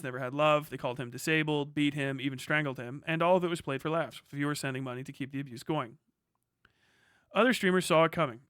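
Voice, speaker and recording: A man's voice: a little high, a bit bright, clean tone, no room sound, clean studio sound. A man doing live reaction commentary as a streamer, blunt, outspoken and free with swearing. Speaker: streamer reacting to a news video. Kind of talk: live reaction commentary with read-out passages and rants.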